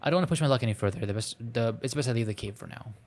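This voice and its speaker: A man doing a casual game playthrough, reading the story text aloud in a halting way, stumbling over words. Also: mid-range voice, faint music behind. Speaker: streamer playing a visual novel.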